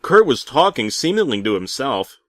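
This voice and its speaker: A man narrating a comic creepypasta theatrically, swinging between hushed and loud delivery. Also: medium pitch, very animated, moderate pace, dry recording, studio quality. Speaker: horror story narrator.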